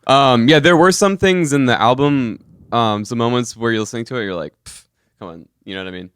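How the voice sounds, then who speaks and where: A man's voice: mid-range voice, a little fast, fairly bright tone, clean tone, dry recording. A young adult man chatting casually as a podcast co-host. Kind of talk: casual chat.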